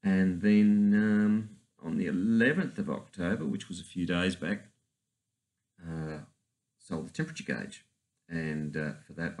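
A man, talking casually and haltingly in a garage as host of his own channel. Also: extremely low pitch. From Australia. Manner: rambling, hesitant with many fillers. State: hoarse after a night out. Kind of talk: casual vlog. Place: cluttered garage workshop.

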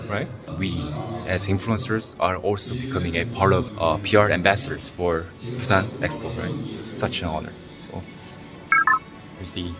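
Chatty young man vlogging on his phone. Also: deep voice. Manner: chatty, enthusiastic, fillers like "right?". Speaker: vlogger and event host. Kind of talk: casual selfie vlog. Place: government building, indoors and out. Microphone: phone at arm's length.

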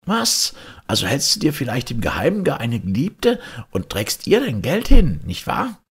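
A spiteful, quarrelsome storybook wife, acted out by a male reader. The voice is pitched far up from his own, swooping and scolding, with a sharp hiss and a rough edge. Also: wildly swooping pitch, moderate pace, exaggerated voice. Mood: suspicious, furious. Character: shrill, quarrelsome, spiteful wife of a poor woodcutter. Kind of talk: storybook character voice in a folktale reading.

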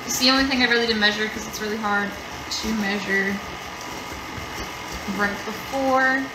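A woman's voice: mid-range voice, fairly even intonation, moderate pace. A young woman hosting a casual live cooking demo from a home kitchen, chatty and rambling with lots of fillers. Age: young adult. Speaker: museum cooking show host. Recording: echoey kitchen with background noise.

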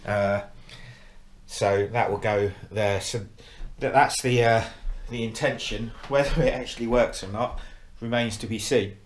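Guy, middle-aged, low flat voice, lots of uh's, talking thru his model build at home. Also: measured pace. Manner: hesitant, lots of uh fillers and restarts, plain explanatory. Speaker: model railway hobbyist. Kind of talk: casual show-and-tell progress update. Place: living room at home, indoors.

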